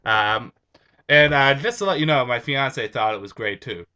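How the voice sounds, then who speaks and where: A young man chatting casually on his home vlog, his voice a bit raspy and stuffed up from allergies, rambling with restarts. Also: mid-range voice, moderate pace, muffled recording, very noisy. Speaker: vlogger.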